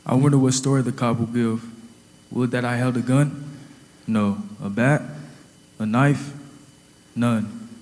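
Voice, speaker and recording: A man's voice: mid-range voice, fairly even intonation, unhurried, long pauses, a little mellow, lightly acted. Man acting a somber victim in echo. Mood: bitterly wondering. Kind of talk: audio drama acting. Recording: long echoing reverb.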